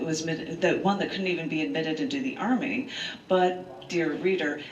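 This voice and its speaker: Woman reading poetry in a roomy, noisy space. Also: low voice. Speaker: poet and open mic host. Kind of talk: live poetry reading with spoken asides. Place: large bookstore, indoors, live event. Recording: roomy live room, distant mic, clear noise.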